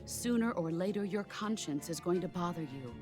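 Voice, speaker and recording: A seasoned female military mentor from a video game drama played by an actress. Her voice sits fairly low and she speaks in a measured, solemn way, instructing with calm authority. Faint music plays underneath. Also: close to an everyday voice. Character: calm, commanding veteran female soldier mentor. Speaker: military mentor over radio. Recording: music behind.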